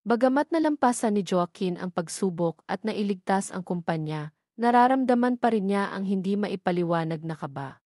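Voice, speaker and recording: A woman's voice: medium pitch, normal speed, dry recording. Filipino woman narrating, dry close sound. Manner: steady storytelling read, voicing all characters lightly. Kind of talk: scripted audiobook narration of a romance story.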